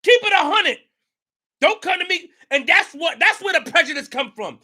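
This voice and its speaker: A man delivers a passionate monologue on his own show. His voice sits very high and cuts sharply, and he hammers his points home with repetition and bursts of shouting. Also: animated, moderate pace. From New York. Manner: emphatic, repetitive, rising to shouts. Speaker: show host. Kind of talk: impassioned commentary monologue.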